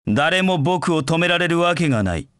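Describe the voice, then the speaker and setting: Video game dark knight, aloof, curt, dry close sound, lively pitch swings. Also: male voice, moderate pace, clean tone, no room sound. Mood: confident, aloof. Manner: curt, haughty, disdainful. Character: proud, cold male dark knight, aloof and confident. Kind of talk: video game voice acting in short battle lines.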